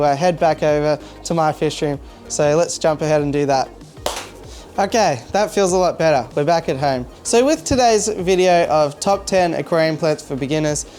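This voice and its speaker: A man with an Australian accent, a hobby vlogger chatting casually to camera in his home fish room, relaxed and full of small fillers. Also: fairly high pitch. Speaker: aquarium hobby youtuber.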